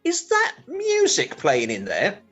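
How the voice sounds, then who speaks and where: A dismissive British husband in middle age as a male actor plays him, forever grumbling. The voice is rough and breathy and leaps around in pitch with scornful, sardonic turns, in radio comedy style. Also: huge pitch swings, slow pace, fairly bright tone, faint music behind, full character voice. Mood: irritable. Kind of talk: radio play comedy acting.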